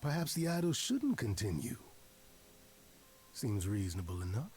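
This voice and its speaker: Sly, swooping trickster god. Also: male voice, medium pitch, huge pitch swings, moderate pace, darkish tone, slight background noise. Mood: wry, persuasive. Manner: theatrical, silky, teasing, eloquent. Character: Pan, sly playful mischievous male trickster god.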